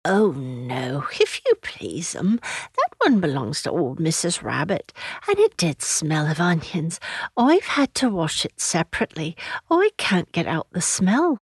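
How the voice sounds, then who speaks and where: A stout hedgehog washerwoman from a children's storybook, acted by a woman. She is polite and fussy, eager to please, and speaks in a dry, close recording with animated pitch. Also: measured pace, breathy edge, dry recording, heavily acted.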